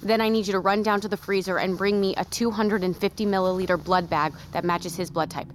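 A woman plays a take-charge medic in an audio drama. She is composed and businesslike, speaking quickly at a middling pitch, with clear noise behind her. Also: fairly even intonation, close to an everyday voice. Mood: urgent, businesslike. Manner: businesslike, giving clear instructions. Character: brisk, composed female medic taking charge. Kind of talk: naturalistic audio drama acting.

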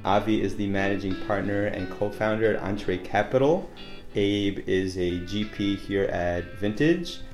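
A man's voice: lowish voice, normal speed, a little mellow, faint music behind. A man hosting a podcast, introducing his guests. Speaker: podcast host. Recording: echoey room.

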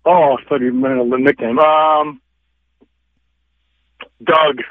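A man's voice: fairly high pitch, steady tone, slow pace. A man on the phone to a radio quiz as a contestant, answering tersely. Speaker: listener caller, quiz contestant. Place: phone call into a radio show. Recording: muffled radio phone call.

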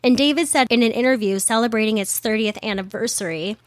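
A woman's voice: fairly high pitch, quick pace, dry recording. A woman hosting a casual history podcast, chatty with wry asides, her voice slightly worn from being a little sick. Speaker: podcast host.

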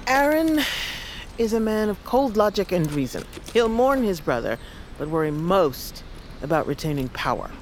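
Actress as low-voiced, solemn old grandmother. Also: big pitch swings, slight background noise, natural voice. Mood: grave, measured. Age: older. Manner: solemn, deliberate, commanding. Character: fierce, proud old grandmother, poison master of a fantasy tribe. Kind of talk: audio fiction drama acting. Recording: loud music behind the voice.

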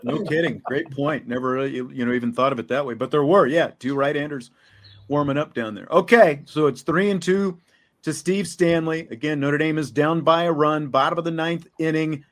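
A man's voice: a little high, normal speed. An American man hosting a sports show, interviewing guests in a casual, conversational way.

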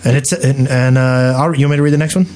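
A man's voice: mid-range voice, fairly even intonation, fast, darkish tone. A man co-hosting a gaming podcast, chatting casually. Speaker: podcast co-host.

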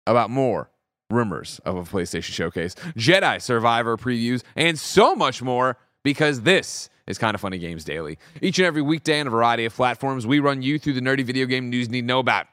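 A man hosting a video game news show, his voice roughened from two nights of screaming, talking with big emphatic hype. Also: medium pitch, no room sound, clean studio sound. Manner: animated, emphatic hype delivery. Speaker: host. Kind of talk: energetic podcast show hosting.

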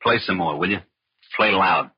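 A man's voice: low voice, moderate pace, breathy edge. An actor playing a lazy, scheming small-time crook with American tough-guy slang, in 1940s radio crime drama style. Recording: dull vintage radio, music and crowd behind.

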